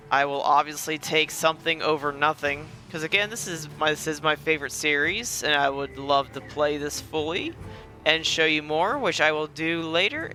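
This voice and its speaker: A man's voice: on the high side, pushed and bright. A man hosting a gaming video with casual, rambling, self-deprecating gameplay commentary. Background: faint game music.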